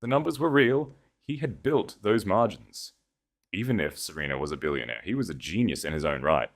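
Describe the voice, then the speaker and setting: Male narrator, deep pitch, dramatic audiobook delivery, scripted storytelling. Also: animated, a little fast.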